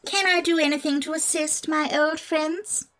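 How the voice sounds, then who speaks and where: A man voicing a tiny, demure female mouse queen who speaks gently and politely. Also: extremely high pitch, steady tone, moderate pace, voice pushed up.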